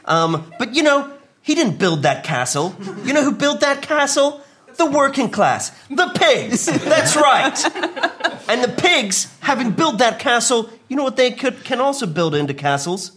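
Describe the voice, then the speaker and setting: A New man improvises a comic debate in a lively, slow-paced voice. He feels his way through the argument in mock earnest, with some noise in the recording. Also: very high voice. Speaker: comedy panellist. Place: live studio with audience.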